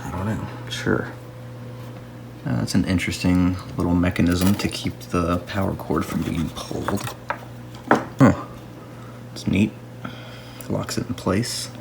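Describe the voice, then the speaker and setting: Man, very low voice, casual hobbyist narration, unhurried, thinking out loud, clearly noisy home recording. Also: steady tone. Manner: unhurried, musing aloud to himself. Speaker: hobbyist radio restorer. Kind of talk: casual hands-on restoration video narration.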